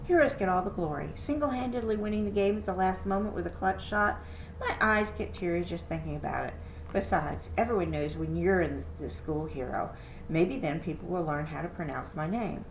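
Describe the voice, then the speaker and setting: A woman's voice: lowish voice, brisk, voice pushed up, natural voice. A woman reading a storybook as a self-deprecating, stubbornly hopeful middle-school girl, sarcastic and dramatic. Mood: indignant, dramatic. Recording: roomy echo, background noise.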